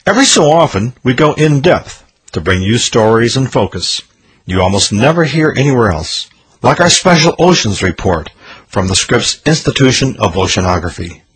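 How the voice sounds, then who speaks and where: A man's voice: medium pitch, fairly bright tone, slightly rough, low-quality recording. A man hosting a radio show, reading an earnest, promotional year-in-review script.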